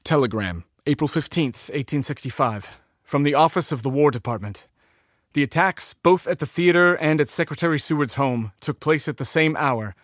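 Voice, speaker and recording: Actor as a formal telegram reader, very dry sound. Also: male voice, mid-range voice, no room sound, natural voice. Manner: measured, official, declarative. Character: formal male reader of an official 1865 telegram. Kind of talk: scripted dramatic reading.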